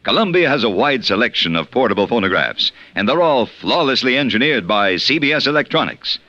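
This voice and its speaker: Bright, animated vintage radio ad man. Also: mid-range voice, fairly quick, no room sound, highs cut off. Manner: emphatic salesmanship. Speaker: commercial announcer. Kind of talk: polished 1950s radio ad read. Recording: dry, band-limited vintage radio.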